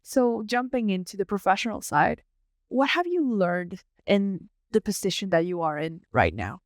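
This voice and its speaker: A woman's voice: medium pitch, sing-song, moderate pace, no echo, clean studio sound. A woman hosting a podcast, giving prepared introductions and interview questions. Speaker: podcast host.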